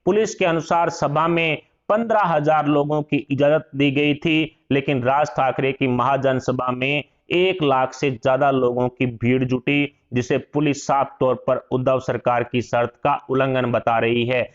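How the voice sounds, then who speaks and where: A man's voice: mid-range voice, fairly even intonation, measured pace, hardly any pauses, highs cut off. A man reads scripted political news as a voice-over narrator.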